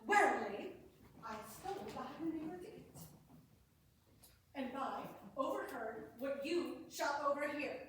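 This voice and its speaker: A woman with a high voice delivers Shakespearean lines in a staged reading. Her pace is unhurried, in the manner of an amateur stage performance. The room rings heavily with echo around her. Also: animated, a bit bright. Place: indoor performance room, recorded from afar. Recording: echoey room, noisy, distant microphone.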